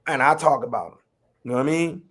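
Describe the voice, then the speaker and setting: A man from Chicago's West Side with a local accent and a slight New York tinge, a rapper and educator speaking in a relaxed, streetwise way as an interview guest. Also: medium pitch, fast, breathy edge. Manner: relaxed, streetwise, lots of "you know what I mean". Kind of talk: casual interview talk.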